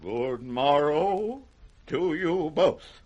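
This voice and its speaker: Wrathful old king, high-pitched. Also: expressive, drawn-out words, a little mellow, dull audio, exaggerated voice. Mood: bitter grief. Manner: grand, volatile, swinging from thunder to broken murmurs. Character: aging wrathful king, proud, wounded and unravelling. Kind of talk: 1960s British radio Shakespeare acting.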